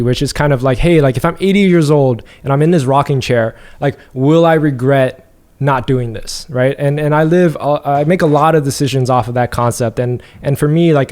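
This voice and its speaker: A man's voice: medium pitch, darkish tone. Young man rambling in a podcast chat. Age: young adult. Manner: rambling, many fillers and restarts. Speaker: podcast guest, apparel brand founder. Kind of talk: casual interview conversation.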